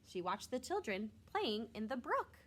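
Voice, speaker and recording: A woman's voice: on the high side, sing-song, unhurried, a bit bright, slight background noise. A woman reading a picture book aloud like a teacher to young children, expressively and with simple asides. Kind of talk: storybook read-aloud with short asides.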